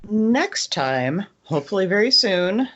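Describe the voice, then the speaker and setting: A woman co-hosts a relaxed podcast book chat with a dry, wry sense of humor. Her voice sits at an ordinary pitch. The recording is a little dull and lo-fi. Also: unhurried. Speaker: podcast co-host. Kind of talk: casual book discussion among friends.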